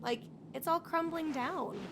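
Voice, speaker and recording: A woman's voice: high voice, unhurried, very noisy. A woman streaming a game with casual live commentary, sarcastically answering the characters back. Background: game music.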